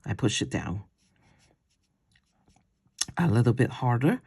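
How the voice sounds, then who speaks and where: A man with a deep voice teaching a watercolor paint-along. He talks slowly while he paints and often checks in with "okay?". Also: big pitch swings, creaky, darkish tone, breathy edge. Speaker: watercolor instructor. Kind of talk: paint-along tutorial demo.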